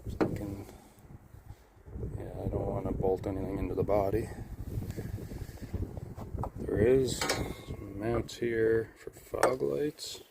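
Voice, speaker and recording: Low-key man, flat delivery, noisy recording. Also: slow, vocal fry, pauses often. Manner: hesitant, thinking aloud. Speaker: vlogger showing a DIY vehicle project. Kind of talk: casual unscripted talk to camera.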